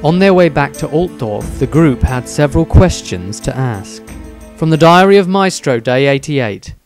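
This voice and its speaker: A man's voice: fairly high pitch, expressive, normal speed, faint music behind. A man narrating scripted story headings as the author reading his own tale.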